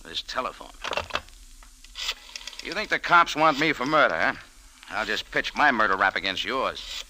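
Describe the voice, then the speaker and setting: A hard-edged, forceful American tough guy of vintage radio mystery. He talks in clipped, sardonic lines that swing widely in pitch. The recording has an old sizzly radio sound. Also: lively intonation, moderate pace, slight background noise, low-quality recording. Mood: hard, confident. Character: tough, wisecracking American café owner turned adventurer. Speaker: lead actor and narrator. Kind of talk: old-time radio detective acting and narration.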